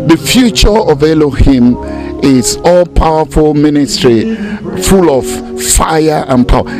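An older man with a Nigerian accent speaks slowly into a handheld microphone outdoors, with music behind him. He gives his testimonial like a clergyman, deliberate and emphatic. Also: fairly high pitch. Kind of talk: interview testimonial. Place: outdoors beside a car in a courtyard. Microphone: handheld interview microphone held close. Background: background music.

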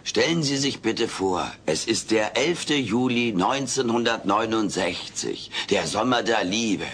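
A man's voice: on the low side, expressive, measured pace, fairly bright tone, slight background noise. A man voicing a brash, wisecracking furry alien in a sitcom audio play, theatrical, pompous and cheeky. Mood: mock grand. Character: brash wisecracking furry male alien playing hotel host.

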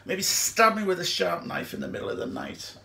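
A young man acting a dramatic stage monologue as a distraught, haunted man, speaking haltingly and emotionally with long pauses. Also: high voice, wildly swooping pitch, creaky, sharp tone, heavily acted. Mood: bitter, fearful. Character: distraught man haunted by his girlfriend's suicide. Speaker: amateur actor.

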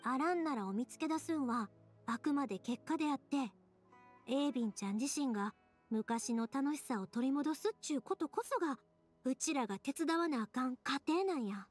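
A kind, cheerful young woman from an anime game, with a high-pitched voice. She speaks in a folksy Kansai accent, earnest and expressive, over faint background music. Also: normal speed. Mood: reassuring, thoughtful. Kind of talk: anime game dialogue acting.

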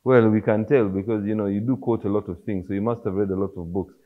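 A man in his thirties hosting a studio talk show, asking questions in a friendly, relaxed way. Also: fairly low pitch, fast. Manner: friendly, laid-back questioning. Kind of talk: casual interview. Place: studio set with armchairs. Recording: slightly dull studio audio.

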